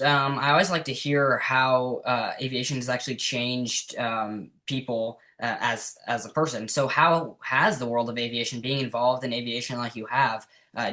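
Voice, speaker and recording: A man with a bright, forward voice at medium pitch hosts a casual interview. He is affirming, and his phrasing is hesitant, circling back over words. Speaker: podcast host, interviewer.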